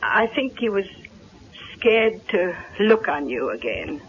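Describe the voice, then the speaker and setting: An actor playing a gossipy household servant with a folksy, colloquial way of telling things, in a 1970s radio drama. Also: male voice, sits high, big pitch swings, slow, exaggerated voice. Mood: ominous, fond. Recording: noisy, dull vintage radio recording.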